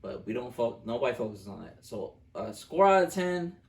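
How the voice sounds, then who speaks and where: A man with a fry-laden, gravelly voice talks about movies casually and bluntly. He speaks emphatically, as a co-host would, and is picked up from a distance in a reverberant room. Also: mid-range voice, sing-song, normal speed. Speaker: film reviewer, co-host. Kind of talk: casual opinionated movie review chat. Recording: roomy echo, distant microphone.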